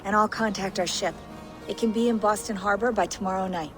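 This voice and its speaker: A woman's voice: medium pitch, steady tone, brisk, over music, close to an everyday voice. An actress playing a firm, outspoken female teammate in a video game, talking plainly and assertively. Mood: businesslike. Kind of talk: naturalistic video game acting.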